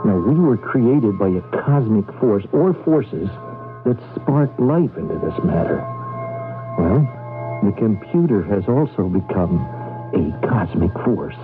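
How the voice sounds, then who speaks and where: An actor playing a grave, fearful male fugitive in a 1980s radio drama, speaking portentously with hesitant, dramatic pauses. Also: lowish voice, lively intonation. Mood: grave, revelatory. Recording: tinny vintage radio broadcast, faint music behind.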